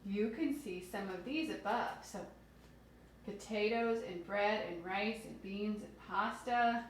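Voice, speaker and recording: A woman's voice: mid-range voice, takes it slowly. A woman reading aloud to young children as a teacher, expressively explaining things simply and putting questions to her listeners. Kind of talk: children's read-aloud lesson with asides. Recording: very echoey room with faint noise.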